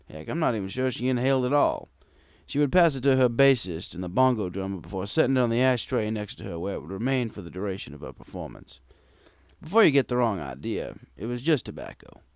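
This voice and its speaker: A man's voice: mid-range voice, no room sound. A man reading a scripted first-person story as a wry, conversational bartender pony. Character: wry, gruff bartender pony telling his tale. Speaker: story narrator.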